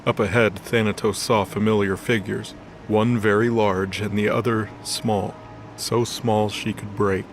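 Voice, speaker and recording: A man's voice: fairly low pitch, little pitch movement, a little slow, a little hiss or hum. A man reading scripted story narration for an audio drama.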